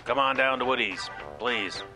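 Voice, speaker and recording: An actor playing a grumpy, resigned bait shop owner who talks in a folksy, deadpan grumble for a comic radio commercial. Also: male voice, medium pitch, normal speed, lightly acted. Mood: grumbling, resigned. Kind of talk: comedic radio commercial acting. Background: music.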